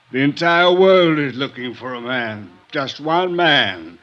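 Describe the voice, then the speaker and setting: An actor playing a drunken, melancholy, eloquent older man with florid, halting speech in a 1950s radio drama. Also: a little high, a bit bright. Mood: bitter, philosophical. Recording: dull 1950s radio recording, music behind.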